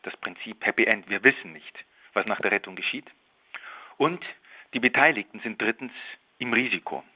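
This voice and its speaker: A man delivers explanatory commentary in an orderly, scripted way. His voice sits slightly low but has a very bright, forceful, cutting edge. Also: moderate pace, poor audio.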